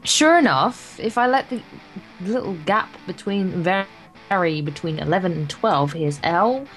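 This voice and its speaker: A woman's voice: on the low side, animated, a little slow, soft background music, poor audio. A young woman co-hosting a let's play, reading visual novel text aloud with playful, casual banter.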